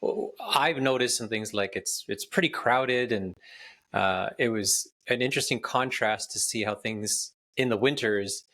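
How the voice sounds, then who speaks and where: A man's voice: mid-range voice, measured pace, bright, forceful tone. A man hosting a podcast interview, asking long, conversational questions. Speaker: podcast host.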